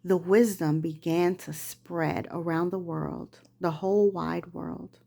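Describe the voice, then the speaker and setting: A woman's voice: lowish voice, fairly even intonation, takes it slowly, gravelly, warm tone. A woman reading a children's storybook aloud, patiently prompting young readers along the way. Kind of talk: read-aloud storybook narration with gentle corrections.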